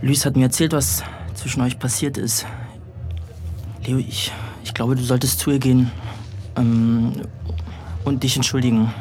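A man playing a tender teenage boy in a radio drama, a concerned friend who speaks softly and haltingly. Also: medium pitch, level tone, natural voice. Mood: hesitant, tender. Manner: halting, soft-spoken.

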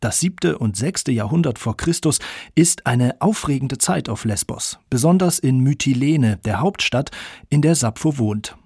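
A man narrates a radio feature from a script. His voice is husky with a creaky edge, recorded close and dry. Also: medium pitch, lively intonation, unhurried, darkish tone, no room sound.

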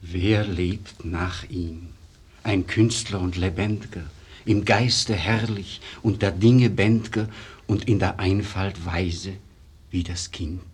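An actor playing a refined, disdainful disciple of a master painter in a 1950s radio verse drama, declaiming in a measured, lofty way. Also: male voice, low voice, fairly even intonation, slightly rough, heavily acted. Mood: grave.

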